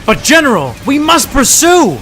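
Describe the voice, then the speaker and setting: A man's voice: extremely high pitch, wildly swooping pitch, moderate pace, bright, forceful tone, faint music behind, pitched above the performer's own voice, heavily acted. A man playing a male commando officer who barks orders insistently. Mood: urgent.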